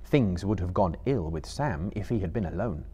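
A man in his thirties giving a theatrical, deliberate dramatic narration of a novel as a storyteller. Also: low-pitched, expressive, mellow, husky. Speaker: storyteller, reader. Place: seated in a book-lined room before a live audience.

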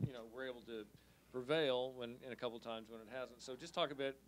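A male reporter with a mid-pitched voice asks press conference questions. His phrasing is halting and circles back on itself, and faint noise sits behind him. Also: fairly even intonation, rough recording. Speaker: sports reporter. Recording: faint background noise and clicks.

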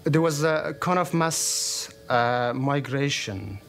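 A man in his thirties from Afghanistan recounting his journey in a documentary interview, plain and thoughtful with pauses. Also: on the high side, fairly bright tone, a little hiss or hum. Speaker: interviewee, refugee. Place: studio interview set, indoors.

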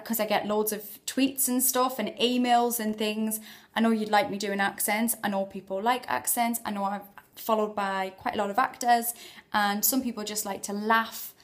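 A woman's voice: mid-range voice, fairly even intonation, normal speed. A woman in her thirties with a Geordie accent, chatting casually and self-mockingly to a phone held at arm's length in a small room. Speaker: vlogger. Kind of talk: casual chat to camera.

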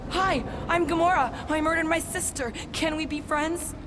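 Woman playing a tough, terse female assassin, dry delivery, fairly high voice. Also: normal speed, background noise, lightly acted. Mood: somber. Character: guarded deadly female alien assassin. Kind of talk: video game cutscene acting.

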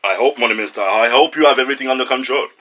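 A man plays a scolding minister in a radio comedy. He talks at a very rapid pace in a bright, forceful tone. His manner is insistent and blaming. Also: medium pitch, dull audio. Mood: exasperated. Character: scolding, exasperated male school minister. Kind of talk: radio comedy drama acting.

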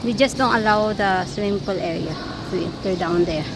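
A woman vlogging a walking tour, excited and exclaiming often, filmed on a handheld camera in rain and wind. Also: medium pitch, normal speed. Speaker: travel vlogger. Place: outdoors and in a sports complex, rain and wind. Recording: noisy outdoor phone video.

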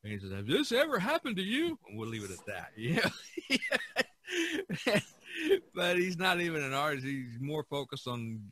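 A man hosting a casual podcast discussion, speaking haltingly and drawing out some words. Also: fairly high pitch, big pitch swings, sharp tone. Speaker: podcast host.

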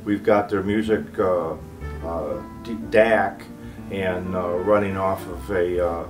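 Halting older man, very deep voice. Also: steady tone, over music. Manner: halting, many pauses. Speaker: loudspeaker exhibitor. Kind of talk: unscripted product walk-through in an interview. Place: carpeted hotel room at an audio show.

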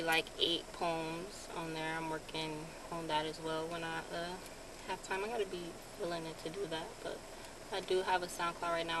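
Chatty young woman vlogging in a car. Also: fairly low pitch. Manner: chatty, lots of ums, relaxed. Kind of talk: casual car vlog. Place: parked car, daytime. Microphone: phone camera on dashboard. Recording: car with clear background noise. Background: vehicle ambience.

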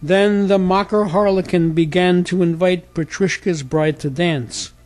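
A man's voice: high voice, measured pace, a little mellow, soft background music. A man reading a scripted fairy tale as a storyteller.

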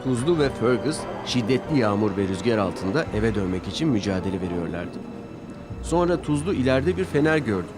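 A male narrator tells a scripted children's story. His voice is fairly low and moves up and down in an animated way, with clear music playing underneath. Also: fairly quick.

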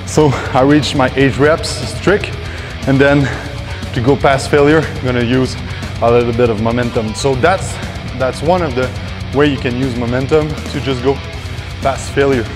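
A man in his thirties coaches a workout from the floor of a large indoor gym, explaining each exercise step by step. He talks at a mid pitch, and his explanations are full of fillers and restarts. Faint music plays behind him, picked up on a lapel mic. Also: fairly even intonation, moderate pace. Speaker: fitness coach.

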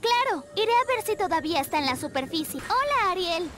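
A woman voicing a helpful, upbeat young mermaid girl in an animated game, polite and earnest. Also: very high-pitched, fairly quick, full character voice. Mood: reassuring. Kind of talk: animated video game voice acting.

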